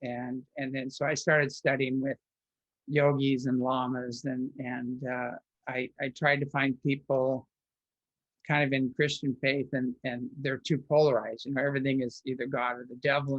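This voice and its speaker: A man tells long personal stories as an interview guest, circling back on himself and restarting his sentences. His voice sits at an ordinary pitch. The recording is dull and lo-fi, with no room echo. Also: little pitch movement, moderate pace, a bit bright. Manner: rambling, with frequent restarts and filler words.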